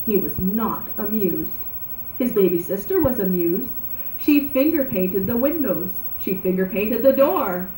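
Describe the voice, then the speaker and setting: A woman narrating a children's story aloud in an animated, dramatic way, drawing out words for emphasis. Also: mid-range voice, measured pace. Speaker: storybook reader. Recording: roomy echo, background noise.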